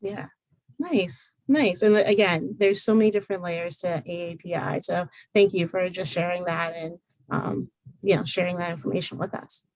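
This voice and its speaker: Woman moderator, fairly low voice, friendly and filler-heavy, dry close sound. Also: fairly even intonation, moderate pace, no echo, poor audio. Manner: friendly, many fillers and restarts. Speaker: panel moderator. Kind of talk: casual online panel hosting.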